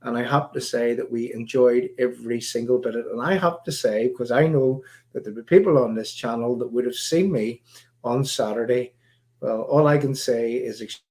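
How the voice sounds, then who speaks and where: A man with a Northern Irish accent hosting a live stream, talking in a halting, rambling way full of fillers, his voice croaky. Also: medium pitch, darkish tone. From Northern Ireland. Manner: halting, many fillers and restarts. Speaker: livestream host.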